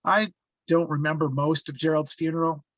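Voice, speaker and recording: A man reading a haunted, reflective first-person narrator looking back on his youth, halting in places, on slightly muffled audio. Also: a little high, level tone, fairly bright tone, dry recording. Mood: reflective, regretful. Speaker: actor reading the narrator at a table read.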